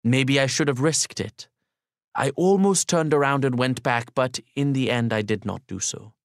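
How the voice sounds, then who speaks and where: A man narrating a literary audiobook in the first person, in a formal, measured and polite manner. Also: medium pitch, dry recording, clean studio sound.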